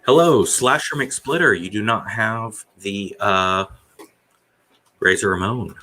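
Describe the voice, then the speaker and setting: A man's voice: lowish voice, slow, pushed and bright. A man in his forties hosting a casual live stream, rambling and hesitant, with many fillers. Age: 40s. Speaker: stream host.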